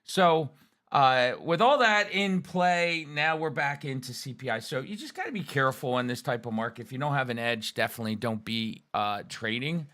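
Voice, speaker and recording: A man talks through a market week on a live trading stream, hoarse and raspy from a cold. His voice is bright and forceful, and he talks with loose energy and plenty of fillers. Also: mid-range voice, normal speed. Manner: energetic, folksy, lots of fillers. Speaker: trading show guest. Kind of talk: live market commentary chat.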